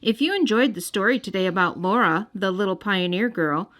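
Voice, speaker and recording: A woman's voice: medium pitch, lively intonation, a little fast, a bit bright. A woman hosting and narrating a children's read-aloud, speaking expressively and directly to young listeners. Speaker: host and storybook reader. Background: music.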